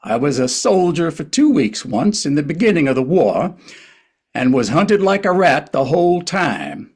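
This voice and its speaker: A man's voice: on the high side, sing-song, moderate pace, a little mellow, breathy edge, heavily acted. A man playing a wry, reflective older male writer and narrator in a table reading of a historical play, with a hesitant storytelling manner. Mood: wry, flustered.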